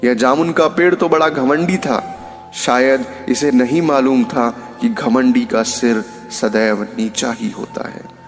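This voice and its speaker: A wise, humble bamboo tree from a moral storybook , acted by a male narrator. He speaks patiently and with measured care. His middle-pitched voice has a reverberant tail, with music behind. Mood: humble, advising. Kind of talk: storybook character in a moral tale.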